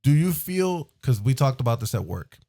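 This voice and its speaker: A man's voice: mid-range voice, very animated, normal speed, warm tone. A man hosting a casual podcast, teasing his guests and asking pointed questions. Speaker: host.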